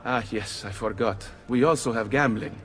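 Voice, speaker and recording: An actor playing a shrewd, wary Italian leader of a thieves' guild in a dramatic game scene. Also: male voice, medium pitch, normal speed, close to an everyday voice. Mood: warming, confiding. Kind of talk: dramatic video game acting.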